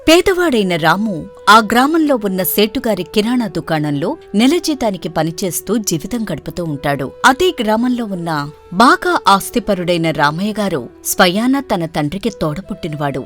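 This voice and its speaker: A woman's voice: medium pitch, very animated, normal speed, a bit bright, soft background music. A woman narrating a scripted moral story as its storyteller.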